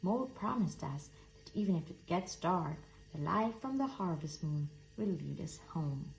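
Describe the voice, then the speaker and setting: Woman playing a lively, reassuring storybook bear. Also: lowish voice, a little slow, vocal fry, a little hiss or hum, rough recording.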